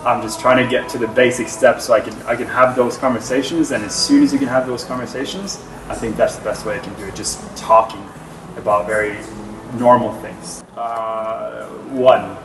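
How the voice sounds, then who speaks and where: A man's voice: medium pitch, steady tone, moderate pace, noisy background. A man from Norway, a native Norwegian speaker, giving easygoing, earnest interview answers as an actor. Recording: roomy, distant mic, music underneath.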